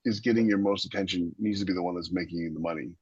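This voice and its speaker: A man's voice: low-pitched, racing, a little mellow, slightly rough, dry recording, highs cut off. A man co-hosting a podcast, giving casual advice in a blunt, emphatic manner.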